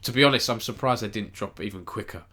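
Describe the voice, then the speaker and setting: Casual male stream host, slightly low, nasal with a cold, chatty and rambling. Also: fast, bright, forceful tone, breathy edge. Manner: rambling, self-correcting, chats with viewers. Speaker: esports news host. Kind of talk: casual live-stream news roundup.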